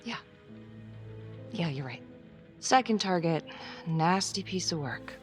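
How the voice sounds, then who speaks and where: An actress playing a weary, hard-bitten police officer in a crime drama game, dry, clipped and blunt, with a gravelly edge. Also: female voice, fairly low pitch, animated, takes it slowly. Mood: tense, tired. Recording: music behind the voice.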